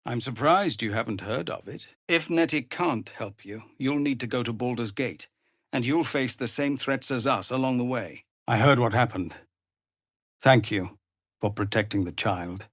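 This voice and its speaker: A man voicing a weary, honourable middle-aged tiefling paladin in a video game, speaking in a measured, formal, grave manner. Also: medium pitch, a bit bright, no room sound, clean studio sound. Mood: grave, concerned, then grateful. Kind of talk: video game dramatic voice acting.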